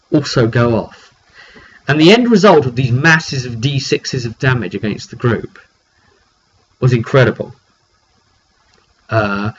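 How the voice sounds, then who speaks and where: A man casually telling the story of a tabletop roleplay session as game master, in an animated way with repetitions and slang. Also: mid-range voice, slightly rough. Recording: dull lo-fi mic with background noise.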